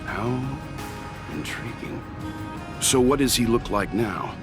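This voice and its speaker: A man voicing a calm, analytical gentleman mentor in a video game, with a measured, reserved manner. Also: mid-range voice. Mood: amused, curious. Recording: loud music under the voice.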